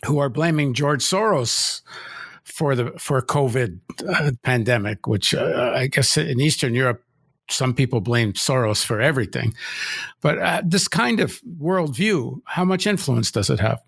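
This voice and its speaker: Gravelly-voiced male interviewer. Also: medium pitch, lively intonation, measured pace, fairly bright tone, no echo, studio quality. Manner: rambling, thinks aloud before asking. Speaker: interviewer host. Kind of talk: interview questions.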